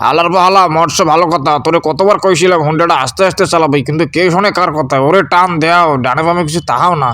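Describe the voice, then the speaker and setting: A man's voice: fairly high pitch, fast, hardly any pauses, fairly bright tone, slight background noise, close to an everyday voice. A man voicing a grumbling, sarcastic village father in a comedy cartoon, scolding in a rural Bangladeshi dialect. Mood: sardonic. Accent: regional rural Bangladeshi. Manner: scolding, mocking, rustic dialect.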